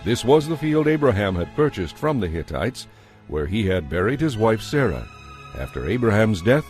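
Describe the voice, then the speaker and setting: Low-voiced man narrating scripture. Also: big pitch swings, normal speed, a little mellow. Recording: music behind the voice.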